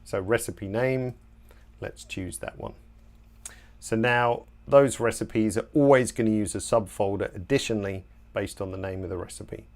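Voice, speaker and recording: A man in his forties presenting a live software tutorial from a home office, talking in a relaxed, chatty way with frequent fillers, and his voice is slightly worn. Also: fairly low pitch, moderate pace. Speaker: software trainer hosting a webinar. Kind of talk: live screen-share tutorial.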